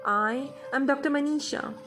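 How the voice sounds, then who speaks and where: A woman narrating a guided meditation in a measured, instructive manner. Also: high voice. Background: music.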